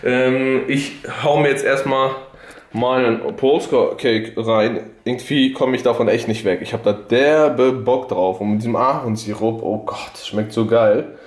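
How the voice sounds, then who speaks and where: A man's voice: mid-range voice, unhurried, reverberant. A young man in his twenties filming a casual fitness vlog with a camera at arm's length, joking and slangy, first at home, then in a big gym. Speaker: fitness vlogger. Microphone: camera held at arm's length.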